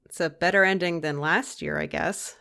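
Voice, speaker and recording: A woman's voice: lowish voice, fairly even intonation, moderate pace, creaky, sharp tone. A woman playing a wry, secretly sentimental editor with an understated manner in an audio drama. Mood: wistful, wry. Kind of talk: scripted audio drama dialogue.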